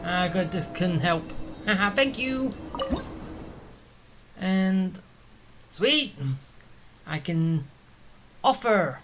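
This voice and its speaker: A man's voice: high-pitched, expressive, drawn-out words, pauses often, background noise. A man giving casual let's-play commentary, muttering to himself as he plays. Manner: muttering to self while playing. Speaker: gamer, let's-play host. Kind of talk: casual live gameplay commentary.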